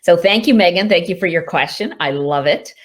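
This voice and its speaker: A woman with a notably low voice whose first language is Hindi, talking over a video-call microphone. She answers reader questions in a loose, chatty way, laughing lightly and leaning on fillers like "you know" and "uh". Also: a little fast. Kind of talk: casual virtual Q&A. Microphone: video call mic.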